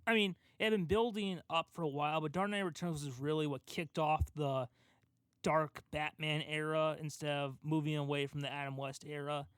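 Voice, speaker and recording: A man's voice: on the high side, steady tone, moderate pace, fairly bright tone, clean tone, no room sound. A man co-hosting a casual podcast, talking in a rambling, enthusiastic fan's way. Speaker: podcast co-host. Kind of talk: casual podcast discussion of comic book films.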